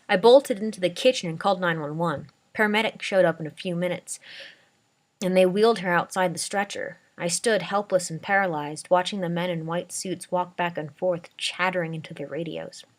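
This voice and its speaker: A woman's voice: lowish voice, a bit bright. A woman reading a dramatic first-person story aloud as an amateur narrator.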